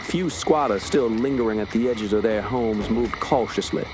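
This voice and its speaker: An actor playing a steady, authoritative police inspector, recounting a grim case in a radio drama. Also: male voice, lowish voice, moderate pace, noisy background, natural voice. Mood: grim, foreboding. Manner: composed, authoritative. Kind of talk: radio drama narration and dialogue. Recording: music under the voice.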